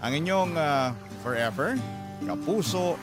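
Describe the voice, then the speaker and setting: An earnest male letter writer over loud music. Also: fairly high pitch, expressive, a little slow. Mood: grateful, earnest. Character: sincere male letter writer, married, wistful yet hopeful. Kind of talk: first-person letter narration for radio drama. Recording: loud music bed behind voice.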